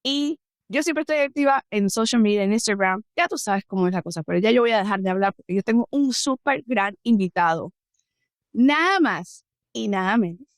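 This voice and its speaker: A woman hosting a podcast, talking in a lively, chatty way as she switches back and forth between Spanish and English. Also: mid-range voice, quick pace, no room sound, clean studio sound. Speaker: podcast host. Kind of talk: casual interview, mixing Spanish and English.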